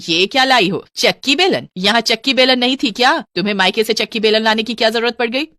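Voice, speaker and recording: Woman putting on a loud, scolding older mother-in-law. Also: a little high, fast talker, no echo, studio quality. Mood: suspicious, taunting. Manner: sharp, loud, taunting.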